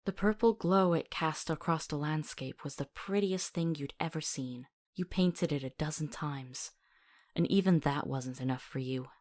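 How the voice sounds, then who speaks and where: Actress as monster hunter's companion, woman, slightly low voice, compassionate, earnest, stubborn, visual novel drama. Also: normal speed, darkish tone. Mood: gentle, soothing.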